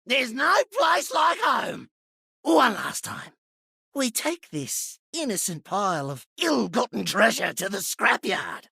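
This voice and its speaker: A rowdy, boastful treasure thief with a raspy, gravelly, highish voice that leaps around in pitch. The delivery is theatrical and gleeful, a scheming rogue in a video game. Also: female voice, sing-song, slow pace, sharp tone, no echo, studio quality, exaggerated voice.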